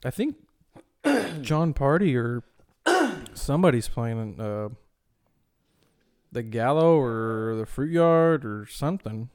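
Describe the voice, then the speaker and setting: An easygoing young man talking music on a podcast, with an ordinary mid-range voice. He teases his co-host in a relaxed, chatty way. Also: mid-range voice, takes it slowly, pauses often. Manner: easygoing, teasing. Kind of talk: casual chat.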